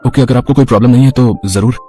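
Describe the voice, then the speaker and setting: A man's voice: mid-range voice, steady tone, racing, warm tone, lightly acted. A man playing young office men and suitors in a romance story, earnest and dramatic, mixing English phrases into his Hindi. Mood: smitten. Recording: music behind the voice.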